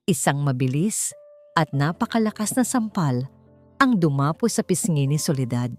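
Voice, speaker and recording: A Filipino woman narrates a scripted drama in a fairly low voice. Her delivery is deliberate, theatrical and full of feeling. Faint music plays behind her. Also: a little mellow. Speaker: storyteller. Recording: roomy sound with faint music.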